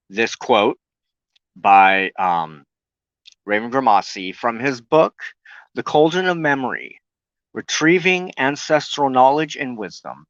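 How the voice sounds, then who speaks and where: A man hosting a talk broadcast, reading a prepared monologue in a halting way and stumbling over words. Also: mid-range voice, fairly bright tone, dry recording, dull audio. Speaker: host of a weekly occult broadcast. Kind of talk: scripted monologue, partly read aloud.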